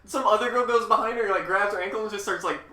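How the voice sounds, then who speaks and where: A man's voice: sits high, fast talker, bright, forceful tone, far from the mic. A young man co-hosting a podcast, firing off sarcastic, crude quips in casual banter. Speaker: podcast co-host. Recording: echoey room.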